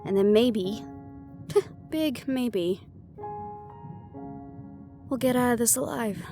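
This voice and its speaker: Woman acting a worried wry half banshee girl in a fantasy audio rp, kinda high voice, confiding, music behind her. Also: takes it slowly. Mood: wistful, resolute. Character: young female half-banshee siren, worried, wry, secretly vulnerable. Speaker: audio roleplay voice actor. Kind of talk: intimate scripted audio roleplay. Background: background music.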